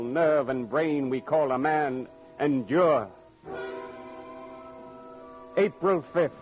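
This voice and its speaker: Dull old radio sound with clear music behind a solemn man narrating an ordeal. He speaks slowly and gravely, in anguished rhetorical phrases. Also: fairly high pitch. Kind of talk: 1940s radio dramatic narration.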